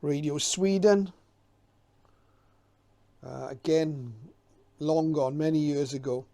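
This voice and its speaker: A man's voice: fairly high pitch, takes it slowly, mellow. A middle-aged man giving informal, unscripted commentary as a hobbyist presenter, hesitant and reminiscing as he goes.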